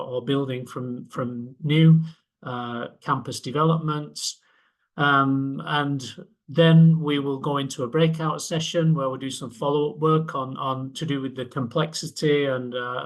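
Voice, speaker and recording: A man opens an online webinar, halting and full of fillers and restarts. His voice sits at an ordinary middle pitch, neither high nor low. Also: a bit bright. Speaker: webinar host.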